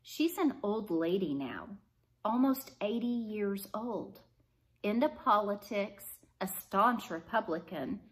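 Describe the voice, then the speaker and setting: Storybook casting: female reader as a chatty adult woman, mid-pitched and unhurried, who gently probes with questions. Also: animated. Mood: gently confronting. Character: Alice, chatty adult woman, delighted then gently probing. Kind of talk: storybook character dialogue read aloud.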